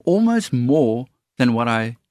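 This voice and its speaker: A man with an Afrikaans accent who trains worship leaders, talking earnestly and haltingly with many fillers. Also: mid-range voice, sing-song, a little mellow, no echo, studio quality. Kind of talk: casual conversation on faith.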